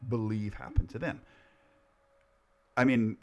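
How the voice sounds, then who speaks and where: A man's voice: lowish voice, steady tone, takes it slowly, a little mellow. A middle-aged Canadian man co-hosting a podcast, chatting casually and joking in self-deprecating banter. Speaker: podcast co-host. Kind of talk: casual chat.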